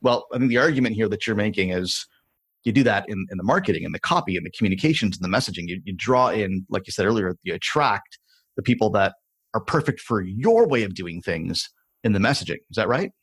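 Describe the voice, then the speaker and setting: Low husky interviewing host. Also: male voice, animated, quick pace. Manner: thoughtful, restates guest's points, rambling questions. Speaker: podcast host. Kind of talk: interview, asking questions.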